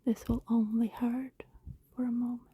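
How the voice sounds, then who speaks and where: A woman playing a lonely, tender, guilt-ridden vampire neighbor in an intimate ASMR roleplay, speaking softly in a breathy whisper with pauses. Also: a little high, flat delivery. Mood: hungry, teasing. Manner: slow, hushed, intimate, with pauses.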